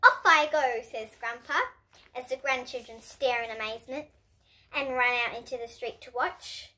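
A young girl reading a picture book aloud for children as an expressive storyteller who now and then stumbles over a word. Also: a little high, low-quality recording. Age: child, about 8 to 11. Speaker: host and reader of children's bedtime books. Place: indoor room with bookshelves.

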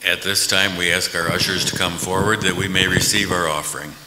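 A man's voice: sits low, fairly even intonation, a little fast, noisy background. An older American man leading formal liturgy and prayer as a pastor. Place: large church sanctuary at pulpit. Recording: reverberant church hall.

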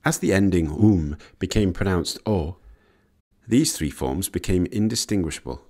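A man reading scripted educational narration in a measured, explanatory way. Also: sits low, lively intonation, darkish tone. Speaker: narrator of an educational language history video.

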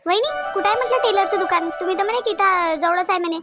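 Woman acting very high-pitched, fast-talking Varhadi village women. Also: loud music behind the voice. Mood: hurried. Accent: Varhadi Marathi from Vidarbha. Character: chatty, bustling village women of one household. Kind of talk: cartoon voice acting in village family comedy.

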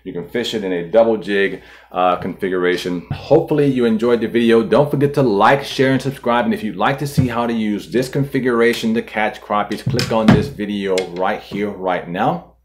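A man in his forties, host of a fishing channel, calmly walking viewers through tying a knot step by step, filmed indoors at a table. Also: fairly low pitch, normal speed, slight background noise. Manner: calm, methodical, walks through each step. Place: indoor studio in front of a boat.

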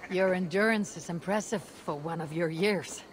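An actress playing a confident young female Viking warrior in a game, husky, stoic and deliberate in her speech. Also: fairly low pitch, a little hiss or hum, close to an everyday voice. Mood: guarded, resolute. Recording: faint game ambience behind.

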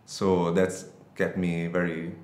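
Very deep, flat-voiced young man from Italy. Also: reverberant. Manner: brief, halting, agreeable replies with non-native phrasing. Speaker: guitarist guest. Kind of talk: casual interview. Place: small bright studio room. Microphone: studio condenser mic on stand.

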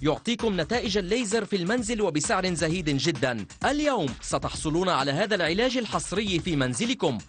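A man doing a dubbed infomercial voice-over, delivering a fast, lively sales pitch. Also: faint music behind. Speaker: advertisement voice-over.

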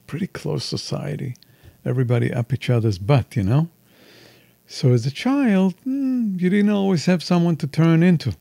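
A man's voice: mid-range voice, gravelly, dark tone, dry recording. A man in his sixties from Israel, telling stories candidly and at length as an interview guest. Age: 60s. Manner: expansive, candid storyteller. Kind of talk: casual interview chat.

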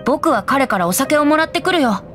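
A soft, lyrical teenage boy bard from an anime game. The voice sits fairly high, gentle and playful with a wistful storyteller's touch. Music plays clearly behind it. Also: expressive, a little fast. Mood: cheerful, playful. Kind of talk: Japanese anime game voice acting.

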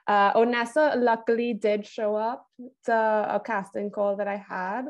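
A young woman from Trinidad speaking thoughtfully and haltingly in a casual interview as a film director. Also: medium pitch, flat delivery. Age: young adult. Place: indoor room, video call. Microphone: wired earphones on a video call.

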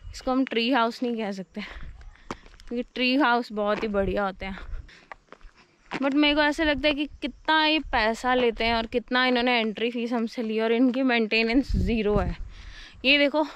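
A woman's voice: fairly high pitch, moderate pace, a bit bright, smooth. A young woman chatting casually on a travel vlog, mixing in English words. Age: young adult. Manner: mixes English words into talk. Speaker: travel vlogger. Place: outdoors on trails and in a moving car. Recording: noisy outdoor and in-car action camera.

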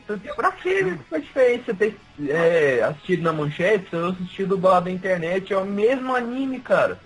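A man's voice: high voice, big pitch swings, moderate pace, rough recording. A teenage guy from Brazil on a podcast, speaking in a casual, matter-of-fact way. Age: teens. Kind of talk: casual group chat. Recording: faint music behind.

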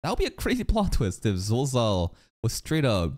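A man's voice: medium pitch, moderate pace. A young man streaming live reactions to an anime, talking back at the screen and swearing freely. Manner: animated, swears a lot, talks back at the screen. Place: small room indoors. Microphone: desk mic on arm.

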